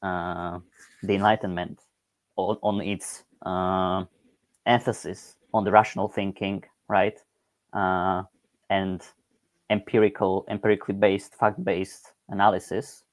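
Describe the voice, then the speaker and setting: A man from Eastern Slovakia, speaking over a video call in a thoughtful, academic way, often pausing on "uh" and tagging points with "right?". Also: low voice, flat delivery, no room sound. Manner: hesitant, many "uh" fillers and "right?" tags.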